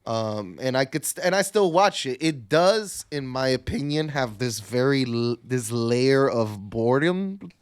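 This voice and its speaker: A man's voice: mid-range voice, expressive, a little slow, hardly any pauses, smooth. A man co-hosting a podcast, chatting casually about films in an informal, sweary way. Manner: informal, profanity-laced. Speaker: podcast co-host.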